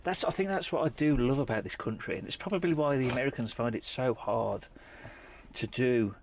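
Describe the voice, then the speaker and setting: A man hosts a relaxed podcast conversation, his pitch rising and falling freely as he talks. He is chatty and laughs along easily. A faint hiss sits under the recording. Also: brisk, vocal fry. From elsewhere, moved to Cumbria. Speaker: podcast host. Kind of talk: casual podcast chat.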